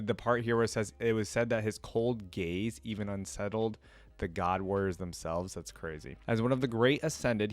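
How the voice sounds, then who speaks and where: Low-pitched man reading and reacting. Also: dry recording. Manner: pauses to react and recap in plain words. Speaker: reaction video host. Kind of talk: reading aloud with casual commentary.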